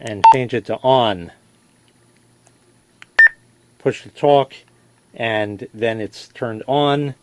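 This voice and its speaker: A man's voice: mid-range voice, drawn-out words, long pauses, a little mellow, a little hiss or hum. A man giving a casual, plain-spoken product demonstration, explaining as he goes and correcting himself. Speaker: product reviewer. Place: indoors in a basement.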